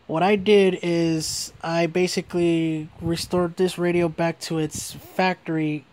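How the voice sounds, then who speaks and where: A man's voice: fairly high pitch, little pitch movement, a little slow, darkish tone. A man giving a casual, hands-on product review in an informal way, often repeating and rephrasing what he says. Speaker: gadget reviewer.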